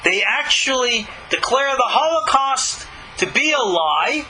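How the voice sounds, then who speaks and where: A man's voice: very high-pitched, very animated, measured pace, bright, forceful tone, a little hiss or hum, rough recording. A man speaking as a rabbi and teacher, giving emphatic, argumentative scripture commentary full of rhetorical questions.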